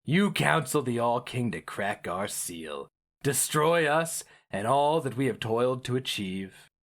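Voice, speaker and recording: A man's voice: medium pitch, lively intonation, a little slow, pitched above the performer's own voice. A man voicing a scornful, fervent, half-mad male sorcerer in a dramatic fantasy story reading, theatrical and hectoring. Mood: mocking, hectoring.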